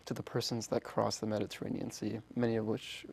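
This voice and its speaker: A young man whose first language is Polish, speaking as a guest in an interview, earnest and halting with many restarts. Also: on the low side, completely flat delivery, gravelly, a little mellow, husky. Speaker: orchestra founder, guest. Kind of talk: interview conversation.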